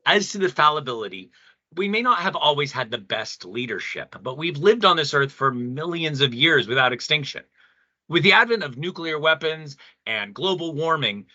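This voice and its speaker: Man acting a bright-voiced, measured, moralising pastor. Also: a little high, no room sound, muffled recording, heavily acted. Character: measured, moralising male church leader in debate. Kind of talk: audio play acting.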